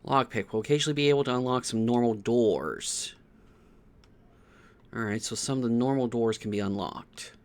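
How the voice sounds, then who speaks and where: Male game streamer, mid-pitched, casual think-aloud delivery with muttered, repeated words. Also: normal speed.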